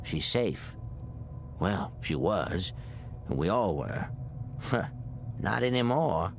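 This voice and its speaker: An actor playing a weary old psychic agent in a video game, guilt-ridden and cryptic, with a gravelly, halting, confessional delivery. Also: male voice, deep voice, animated, noisy background, heavily acted. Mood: remorseful, haunted. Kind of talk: video game cutscene acting. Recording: loud music under the voice.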